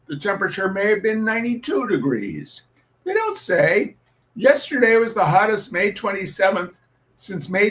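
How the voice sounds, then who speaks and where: Animated, high-pitched man reading comic essays. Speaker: reader of humorous essays.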